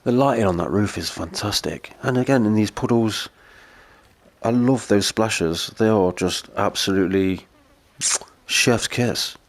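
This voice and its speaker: A British man, voice a little on the low side and thick with a cold, talks through his gameplay. He is chatty and keeps gushing over the details he sees. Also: a little slow. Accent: British English. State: congested from a cold. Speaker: gaming video creator. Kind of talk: gameplay commentary.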